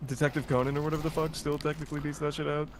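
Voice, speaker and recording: A man's voice: fairly high pitch, little pitch movement, rapid-fire, background noise. A man joking crudely with friends in voice chat while gaming, swearing constantly. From United States. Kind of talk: casual gaming banter.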